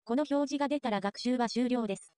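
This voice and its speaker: A woman reading scripted narration for a software tutorial. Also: on the high side, quick pace, no room sound, clean studio sound.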